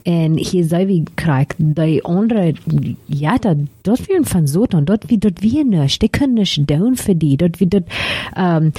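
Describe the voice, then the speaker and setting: A woman explains a Bible passage, stops often and starts her sentences over. Her voice is low and warm. The sound is dry and close. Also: animated, slightly rough, no echo. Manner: halting, restarts often. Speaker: discussion participant. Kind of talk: casual Bible discussion.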